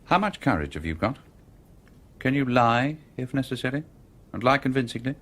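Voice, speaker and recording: A man's voice: medium pitch, sing-song, normal speed, a bit bright. An actor playing a cool, probing male stranger in a radio mystery, with an urbane, wry way of questioning. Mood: cool, deliberate. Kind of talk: radio mystery drama acting.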